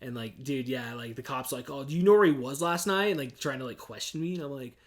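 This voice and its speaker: A man's voice: mid-range voice, expressive, fast, a crowd in the background. Swearing laid-back man in his forties. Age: 40s. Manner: laid-back, swears a lot, says "dude" constantly, laughs often. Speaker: podcast host. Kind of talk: casual chat.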